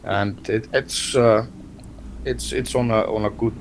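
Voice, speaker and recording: A man from Iceland, Icelandic his first language, speaking English in a casual interview, soft-spoken and hesitant, often repeating words. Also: on the low side, steady tone, a little slow, a little hiss or hum. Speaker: band member, interview guest.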